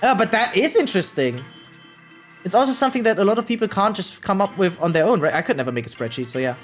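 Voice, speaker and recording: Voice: mid-range voice, animated, quick pace. A young adult streamer talks casually with live chat in a mid-range voice over background music. The delivery rambles, doubling back on words, with loose swearing and a self-deprecating streak. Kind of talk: casual chat with viewers, reading out and answering comments.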